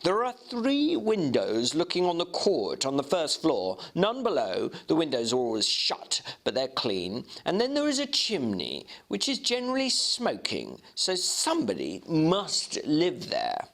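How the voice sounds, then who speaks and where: A man voicing an earnest young gentleman in a Victorian storybook, emphatic and halting as he searches for words. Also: on the high side, wildly swooping pitch, vocal fry, pitched above the performer's own voice, exaggerated voice. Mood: bewildered, emphatic. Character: earnest young English gentleman, bewildered, slightly sullen, recounting strange sights. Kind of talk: dramatic reading of a Victorian novel.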